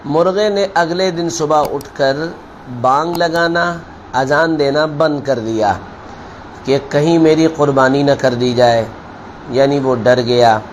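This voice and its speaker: Guy preaching like a maulana, highish voice, slow deliberate storytelling, bit of noise behind him. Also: low-quality recording. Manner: deliberate, admonishing, repeats phrases for emphasis. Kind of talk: sermon with storytelling parable.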